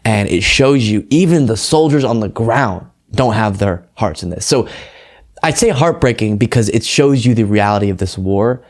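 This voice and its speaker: A man's voice: lowish voice, lively intonation, moderate pace. An American man hosting a political commentary show, talking in an earnest, emphatic and conversational way. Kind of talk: casual political commentary, reading translated quotes aloud.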